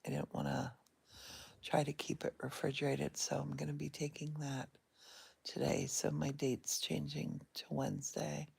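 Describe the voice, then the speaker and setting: Whispery low-voiced woman in bed. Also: gravelly, husky. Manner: soft and whispery, unhurried. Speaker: vlogger. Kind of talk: casual guided meditation vlog. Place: in bed at home. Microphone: phone held in one hand.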